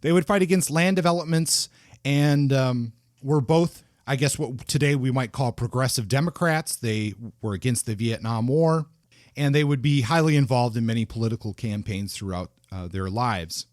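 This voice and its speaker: A man's voice: medium pitch, moderate pace, no room sound, studio quality. A man hosting a podcast, explaining an author's life in unscripted talk with fillers and restarts. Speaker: podcast host. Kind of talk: conversational podcast talk about books.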